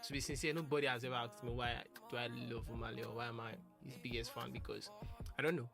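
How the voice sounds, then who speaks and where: A man's voice: medium pitch, fairly even intonation, fast talker, creaky. Earnest young man, music behind. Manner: rambling, earnest, restarts a lot. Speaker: music producer. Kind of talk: casual talk to camera.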